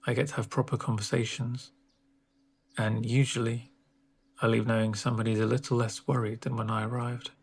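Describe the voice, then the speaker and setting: Male, soft-spoken ASMR doctor role. Gentle, reassuring delivery, husky with vocal fry. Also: mid-range voice, flat delivery. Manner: gentle, reassuring, unhurried with pauses. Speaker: ASMR performer playing a home-visit doctor.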